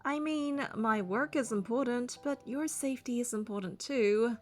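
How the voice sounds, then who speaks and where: A young woman reads a game story aloud, switching between narration and dialogue. Her voice is fairly high and expressive, with faint music behind it. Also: moderate pace.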